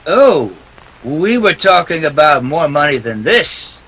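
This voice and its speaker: An actor playing a tough, wisecracking private detective in a 1940s radio drama, clipped and defiant. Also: male voice, on the high side, very animated, slow pace, a bit bright, husky, full character voice, low-quality recording. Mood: firm bargaining. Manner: hard-boiled, clipped, defiant. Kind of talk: 1940s radio drama acting with first-person narration.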